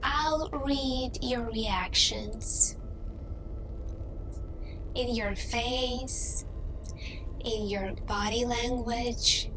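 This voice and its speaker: A woman playing an earnest humanoid alien interviewer in an ASMR roleplay, speaking softly and intimately with hesitant, deliberate phrasing and long pauses. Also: fairly high pitch. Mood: softly probing. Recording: clearly noisy background with ambience.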